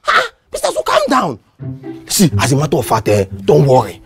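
This is a performer doing a two-faced Nigerian caretaker in a comedy skit, a smooth talker whose voice swoops wildly up and down. The caretaker coaxes and stammers, repeating soothing words while plotting. Faint music plays underneath. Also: medium pitch, wildly swooping pitch, a little fast. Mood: pleading. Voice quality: wheedling, stammering, pleading.

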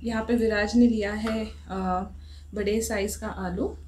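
A woman's voice: mid-range voice, steady tone, a little slow, darkish tone, a little hiss or hum. A woman in her thirties hosting a home cooking show, chatting casually and asking guiding questions. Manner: encouraging, asks guiding questions. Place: home kitchen, indoors.

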